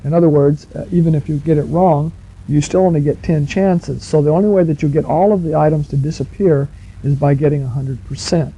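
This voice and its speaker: Male, slightly high-pitched, dark mellow tone, conversational instructor, chatty, addresses the listener directly. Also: a little fast, slightly rough. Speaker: instructor on a software instruction tape. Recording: muffled 1970s cassette tape recording.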